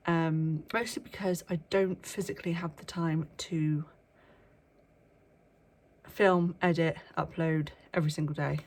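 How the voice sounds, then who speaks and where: Young woman, vlogger, a touch low-pitched, nasal from a cold, chatty and hushed at times. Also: fairly even intonation, measured pace. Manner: chatty, with frequent ums, sometimes hushed. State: bunged up with a cold. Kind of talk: casual chat to camera. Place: workplace indoors, early morning.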